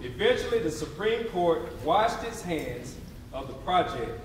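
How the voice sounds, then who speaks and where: A man's voice: fairly high pitch, very animated, a little slow, a crowd in the background. A man delivering an emphatic, preacher-like readers theatre oration on stage. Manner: emphatic, declamatory, preacher-like call and response. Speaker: stage narrator. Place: theatre stage before a responding audience. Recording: very echoey live hall, distant mic.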